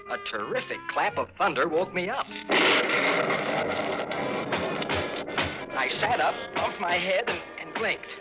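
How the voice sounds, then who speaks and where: A man's voice: fairly high pitch, lo-fi sound. An actor playing a desperate young everyman, a man telling his own story dramatically in 1950s radio style. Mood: tense, puzzled. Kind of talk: 1950s radio narration acting. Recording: old 1950s radio recording.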